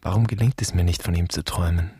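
A man's voice: steady tone, quick pace, dark tone, no room sound. An actor playing a grave, bitter man pondering his dead father in a questioning radio drama monologue. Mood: mournful. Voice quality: husky, very deep.

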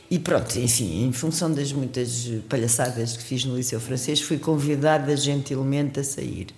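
An older woman with a very low voice tells stories from her past in a lively, laughing manner. Her voice rings in an echoey room, with soft music underneath. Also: measured pace. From Mozambique. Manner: lively storytelling, laughs often, shifts pitch when quoting. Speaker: guest singer. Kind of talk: reminiscing interview talk.

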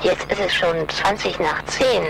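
A woman's voice: fairly low pitch, measured pace, vocal fry. A woman playing a stern, demanding caller leaving a voicemail in a radio play. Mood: cool, insistent. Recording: loud noise over voicemail message.

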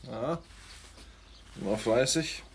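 An actor playing a polite, inquisitive and composed village gentleman in a radio drama, with an assured manner. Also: medium pitch, slow, slight background noise. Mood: genial. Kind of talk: German radio drama acting.

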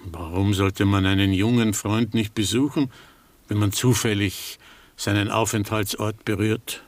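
An actor playing a sardonic, disillusioned doctor in a German radio drama, speaking in a dry, articulate, ironic way. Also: male voice, fairly low pitch, fairly even intonation, unhurried, no echo, lightly acted. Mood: dry dissent.

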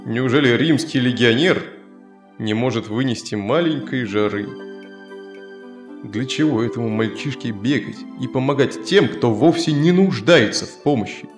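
An actor playing a stern, proud Roman soldier in a children's audio play, scornful and declamatory in manner. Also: male voice, medium pitch, moderate pace, lightly acted. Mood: scornful, irritated. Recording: echoey studio with music behind. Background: music.

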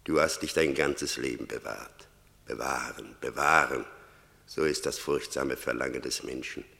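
An actor playing Death as a stern, mocking judge in a radio play, declaiming with emphatic repetition. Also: male voice, very deep voice, measured pace, gravelly, bright, forceful tone, full character voice. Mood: brooding, ominous. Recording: vintage radio drama, long cavernous reverb.

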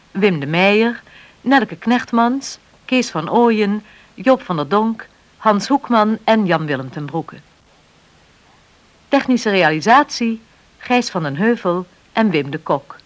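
Radio announcer, woman, scripted credit reading, formal and unhurried with melodic swings. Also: mid-range voice. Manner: formal, measured.

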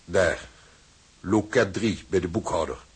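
An actor in a radio drama playing a cold, condescending shipping official who speaks in a formal, officious, mock-polite way. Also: male voice, low voice, unhurried, husky, heavily acted. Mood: dry contempt. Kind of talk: Dutch radio drama acting.

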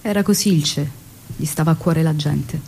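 An actress playing a devoted, longing young wife of a revolutionary in a theatrical radio drama. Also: female voice, low voice, moderate pace, a little mellow, husky. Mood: tender reminiscence.

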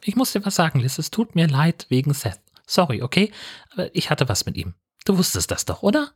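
A man's voice: on the high side, huge pitch swings, brisk, slightly rough, voice pushed up, exaggerated voice. A man voicing a flippant, insistent undead young woman with a blunt, slangy way of talking in a comic audio play. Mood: confessing, probing.

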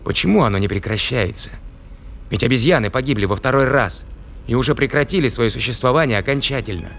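A man's voice: medium pitch, quick pace, gravelly, fairly bright tone, a little hiss or hum. An actor playing an urgent, demanding male spaceship captain in an audio drama. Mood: stunned disbelief.

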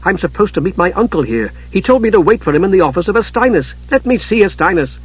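A man's voice: fairly high pitch, expressive, a little fast, slightly rough, dry recording, muffled recording. A man voicing an earnest young mage in an audiobook, polite but urgent and pleading. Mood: desperate, earnest. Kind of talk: dramatised audiobook character.

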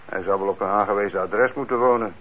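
Man acting a deep-voiced, reassuring official. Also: lightly acted. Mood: matter-of-fact. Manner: measured, conversational, explanatory. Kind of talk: radio drama acting. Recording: dull, noisy old radio recording.

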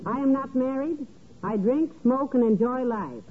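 An actress playing a kindly, sensible wife, folksy and gently skeptical, in old-time radio comedy. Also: female voice, high voice, animated, a little slow. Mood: pleasant. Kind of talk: 1940s American radio comedy acting. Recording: tinny, faintly noisy old radio recording.

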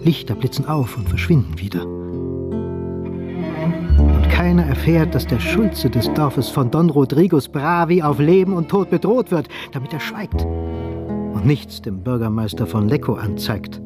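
A man narrating a radio play in an expressive, literary storytelling style. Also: a little high, a little slow, over loud music.

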